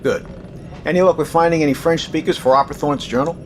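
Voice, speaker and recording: A male actor playing a composed, businesslike naval commanding officer with a measured, authoritative manner in an audio drama. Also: on the high side, lightly acted. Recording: clear background noise, ambience.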